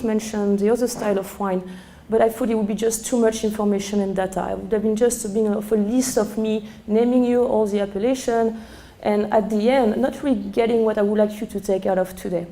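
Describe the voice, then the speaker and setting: Hoarse French-accented woman teaching a wine seminar. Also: medium pitch. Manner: chatty, many fillers, excitable. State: hoarse, losing her voice. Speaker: sommelier presenting a seminar. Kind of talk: live talk to a seminar audience. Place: seminar room.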